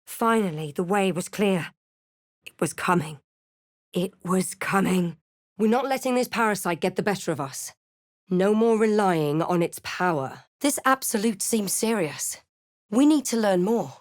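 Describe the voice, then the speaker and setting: A woman playing a wary, wry adventuring hero in a fantasy video game, speaking scripted lines in a measured, dramatic way. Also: mid-range voice, animated, no room sound, clean studio sound, natural voice. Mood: determined, wry. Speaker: video game player-character voice actress.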